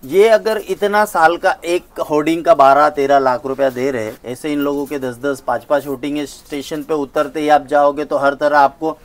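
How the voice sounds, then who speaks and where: A man in his thirties giving an emphatic sales pitch while walking through a large indoor saree showroom. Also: steady tone, normal speed, few pauses. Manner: emphatic, persuasive, gestures while talking. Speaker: saree wholesaler. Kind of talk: sales pitch in a shop interview.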